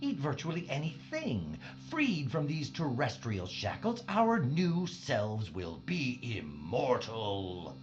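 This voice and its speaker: A fanatical male mad scientist, acted by a man, grandiose and menacing. His theatrical delivery leaps all over in pitch, with faint music behind a muffled sci-fi audio drama recording. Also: wildly swooping pitch, takes it slowly, hardly any pauses. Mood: grandiose, fervent. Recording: dull band-limited sound.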